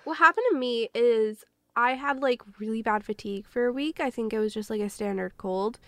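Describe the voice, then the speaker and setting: Female podcast co-host, high creaky voice, stuffed-up with a cold, casual chat, close dry sound. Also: fairly quick, fairly bright tone, no echo. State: congested, sniffly from a cold.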